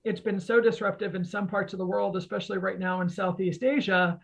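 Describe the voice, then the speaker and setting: A woman's voice: mid-range voice, fairly even intonation, a little fast, vocal fry. A woman guest expert explaining conversationally, in an informal and emphatic way.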